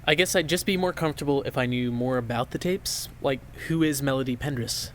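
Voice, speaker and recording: A man's voice: medium pitch, a little fast, a bit bright, slight background noise, natural voice. An actor playing a nervous male archivist who sounds anxious and defensive. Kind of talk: audio drama acting.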